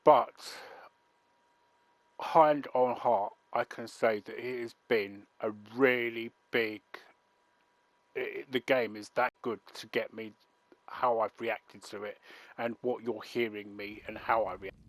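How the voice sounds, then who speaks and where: A man commenting over his gameplay, rambling and halting, with restarts and fillers. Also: mid-range voice, fairly even intonation, dry recording. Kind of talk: let's play commentary. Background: game music.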